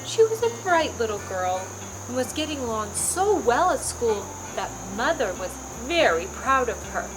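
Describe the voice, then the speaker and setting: A woman's voice: a little high, big pitch swings, moderate pace, background noise. A woman storyteller narrating a scripted story for children. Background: music.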